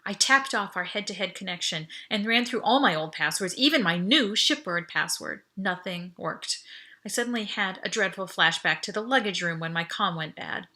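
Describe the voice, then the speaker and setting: A woman reading first-person audiobook narration in an expressive, urgent, dramatic way. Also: mid-range voice, normal speed. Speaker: author reading own novel.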